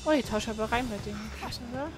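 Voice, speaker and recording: A woman's voice: on the high side, very fast. A woman giving casual co-commentary and directions during gameplay. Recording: clear game music behind the voice. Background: video game music.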